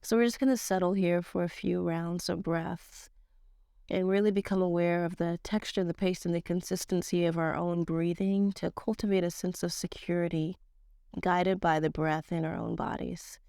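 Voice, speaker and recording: A woman with a stuffed-up, slightly nasal voice, leading a slow guided yoga class in a casual, slangy way. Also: on the low side, creaky, clean tone, dry recording, studio quality. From Nigerian-American. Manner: casual slangy teacher, slow calm cues. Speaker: yoga teacher.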